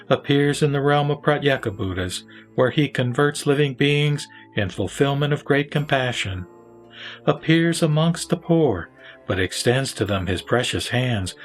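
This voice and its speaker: A man narrates scripture in a formal, declamatory way. His medium-pitched voice is measured and deliberate, with music playing behind it. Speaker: narrator and reader. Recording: background music under the voice.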